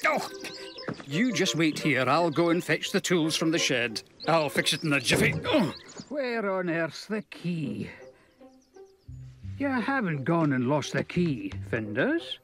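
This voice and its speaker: A man's voice: fairly high pitch, very animated. A man voicing an older farmer in a children's cartoon, absent-minded and patient, muttering in a mildly grumbly way. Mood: resigned, busy. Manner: unhurried, muttering, sighing. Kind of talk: animated children's cartoon acting. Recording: music behind the voice. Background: music.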